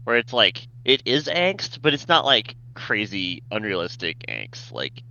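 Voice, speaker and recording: Animated chatty voice on a call, rambly, slightly muffled mic, faint hiss. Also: mid-range voice, unhurried, creaky. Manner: animated, rambling, filler-heavy. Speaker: fanfic reader. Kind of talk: casual group voice chat.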